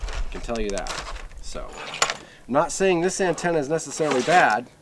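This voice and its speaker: A man's voice: a little high, sing-song, normal speed. A man giving a casual, off-the-cuff product review, rambling a little and repeating himself for emphasis. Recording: clearly noisy recording with clicks.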